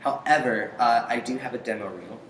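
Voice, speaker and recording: A man's voice: mid-range voice, fairly bright tone. Hesitant young man in an echoey room. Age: young adult. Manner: hesitant, halting, pausing often. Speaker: interview guest, aspiring voice actor. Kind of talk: casual interview answers. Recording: echoey room with faint noise.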